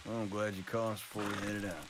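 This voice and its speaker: A man's voice: lowish voice, level tone, fast talker, noisy background, close to an everyday voice. A man playing a blunt, sardonic Old West outlaw in an improvised roleplay, wry and colloquial. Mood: wry, resolute. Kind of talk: improvised western roleplay in character.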